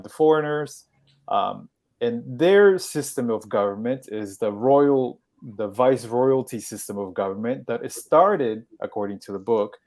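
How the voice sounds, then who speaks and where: A man from Peru speaking on a podcast, explaining things in a measured way with pauses and restarts. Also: mid-range voice, a little mellow. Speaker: podcast guest. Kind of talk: conversational podcast talk.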